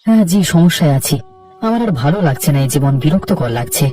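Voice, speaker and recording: A man's voice: fairly high pitch, expressive, fast talker, warm tone, far from the mic, faint music behind, lightly acted. A man voicing a young married office worker in a cartoon, impatient, troubled and complaining in his frustration. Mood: troubled, upset. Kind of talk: cartoon dialogue acting.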